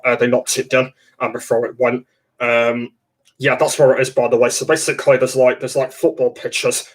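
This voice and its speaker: A young man talks to his webcam from a dark room at home. His voice stays flat and even in pitch, and his speech halts on constant fillers and restarts. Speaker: livestream host. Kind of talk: casual livestream chat.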